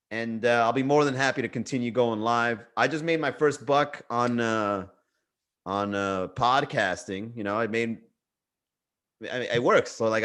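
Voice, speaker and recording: A man's voice: mid-range voice, a bit bright. A man with a Miami accent hosting a comedy livestream, riffing loosely and joking with his chat. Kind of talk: casual livestream stand-up riff.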